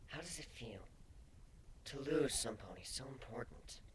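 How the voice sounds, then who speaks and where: A man voicing a gentle, consoling female changeling in a fan fiction reading, speaking in a soft, breathy, soothing way. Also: medium pitch, expressive, measured pace, a little hiss or hum, close to an everyday voice. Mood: soft, vulnerable.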